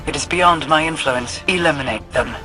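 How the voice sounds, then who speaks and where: A man's voice: on the high side, moderate pace, natural voice. A man playing a grave, commanding mystic war leader in a video game, with formal, portentous delivery. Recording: music behind the voice.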